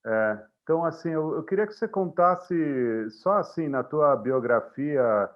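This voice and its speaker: A man's voice: fairly high pitch, lively intonation, a little slow, lo-fi sound. A man with a Brazilian Portuguese accent hosting an interview, asking rambling questions full of restarts. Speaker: interview host. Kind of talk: casual interview talk.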